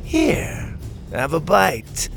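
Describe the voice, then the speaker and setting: A man's voice: a little high, wildly swooping pitch, drawn-out words, creaky, music in the background, voice pushed up, heavily acted. A man voicing a cruel boy bully in an adventure story, mocking and falsely coaxing. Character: taunting, cruel boy bully, gang leader in a mask.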